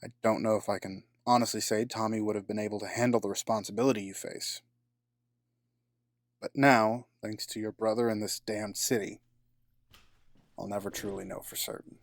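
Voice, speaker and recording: An actor playing a cold, scheming middle-aged businessman villain in an audio drama, formal and measured with a sardonic edge. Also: on the low side, steady tone, creaky, dry recording, studio quality. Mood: bitter, brooding.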